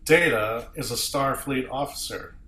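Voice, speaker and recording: A man's voice: medium pitch, measured pace, reverberant, background noise, close to an everyday voice. A man playing a principled, indignant starship captain in a science fiction table read, speaking earnestly in emphatic phrases. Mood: firm. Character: principled, indignant male starship captain defending his officer.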